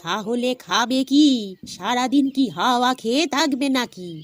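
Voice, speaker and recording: A woman as a high-voiced cartoon housewife. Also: animated, a little slow. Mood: nagging, doubtful. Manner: questioning, exclamatory. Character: questioning, practical village housewife. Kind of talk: animated cartoon dialogue. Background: music.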